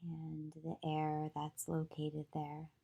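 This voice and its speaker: A woman guides a meditation in a low, mellow voice with a very flat, even pitch. She speaks in short, soothing phrases with long pauses between them, like a yoga teacher leading deep relaxation. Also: gravelly, slightly rough, dull audio.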